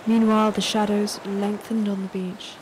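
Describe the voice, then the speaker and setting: A woman's voice: mid-range voice, flat delivery, moderate pace, close to an everyday voice. A woman playing a solemn, ghostly narrator, delivering poetic literary narration in a radio drama. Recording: clear surf-like background noise.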